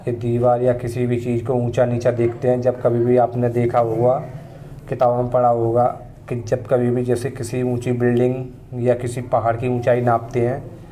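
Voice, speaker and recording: A man's voice: mid-range voice, completely flat delivery, dark tone. A man in his thirties, a mason, casually explaining his building tools one by one while recording outdoors on a phone. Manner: plain, unhurried explaining, pointing at each tool in turn. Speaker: mason and channel host. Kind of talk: casual tool demonstration. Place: paved courtyard outdoors, tools laid on the ground.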